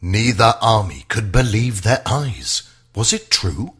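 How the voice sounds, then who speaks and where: A man narrating a rhyming children's bedtime story in a theatrical, sing-song way, with a husky voice. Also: on the low side, big pitch swings, slow pace, a bit bright.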